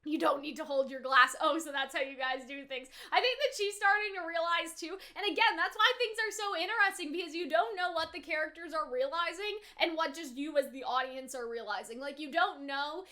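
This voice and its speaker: A woman's voice: sits high, brisk, hardly any pauses, bright, forceful tone. A woman hosting an anime reaction video, chatting casually and animatedly, sometimes acting out lines as asides. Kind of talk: casual chatty episode review.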